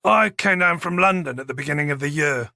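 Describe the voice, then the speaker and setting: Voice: mid-range voice, fairly quick, sharp tone, dry recording, studio quality. An audiobook narrator playing a modest, slightly awkward policeman with an understated, apologetic manner. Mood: casual.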